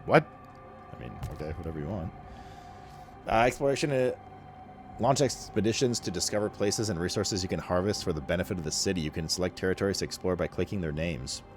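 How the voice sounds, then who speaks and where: A man's voice: low-pitched, fast. A man doing casual, slangy and sweary video game commentary, sometimes reading game text aloud. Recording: game music behind the voice. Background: video game music.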